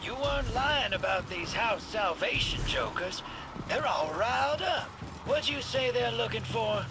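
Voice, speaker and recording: A man's voice: expressive, a little slow, heavily acted. A man playing a wry, slick American smuggler in a video game, with a sly, folksy, teasing manner of speaking. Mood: cocky, amused. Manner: sly, folksy drawl, sarcastic. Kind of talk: video game mission dialogue. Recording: noisy, rumbling game sound behind.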